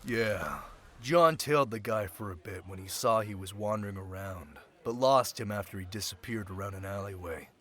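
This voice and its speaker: A man's voice: fairly low pitch, normal speed, close to an everyday voice. A man playing a sly, centuries-old thief turned security specialist, talking in a casual, teasing way in an audio roleplay drama. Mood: businesslike, confiding. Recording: loud crowd chatter behind voice.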